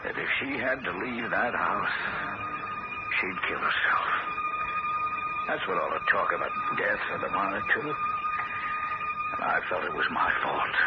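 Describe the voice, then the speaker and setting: An earnest, stammering young man tells his tale in a lively, mid-pitched voice. The vintage broadcast is dull and muffled, with loud hiss throughout. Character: kindly, guilt-ridden young man narrating his story. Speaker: narrator and lead. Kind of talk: 1970s radio drama acting. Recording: dull, very hissy old radio broadcast.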